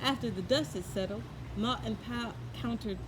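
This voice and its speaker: A woman reading a children's tall tale aloud in an expressive, folksy way, adding her own asides. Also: on the high side, normal speed, background noise. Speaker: storyteller. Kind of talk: children's story read-aloud.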